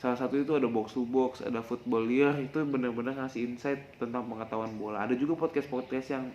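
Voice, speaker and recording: A man's voice: mid-range voice, steady tone, fast talker, darkish tone, highs cut off. A young man hosts a casual podcast, sharing tips in a rambling, self-correcting, confessional way and addressing listeners directly. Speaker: podcast host. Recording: echoey room with faint background noise.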